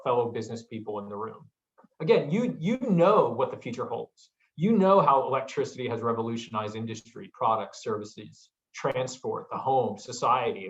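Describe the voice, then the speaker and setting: A man with an American accent presenting an online business workshop, pausing often with ums and asking the audience questions. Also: medium pitch, a bit bright, lo-fi sound. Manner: hesitant with frequent ums, asks the audience questions. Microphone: video call.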